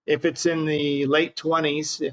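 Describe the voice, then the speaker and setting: A man's voice: on the high side, flat delivery, unhurried, a bit bright. An older man from Hawaii with an American accent, casually reminiscing as an interview guest. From Hawaii, United States.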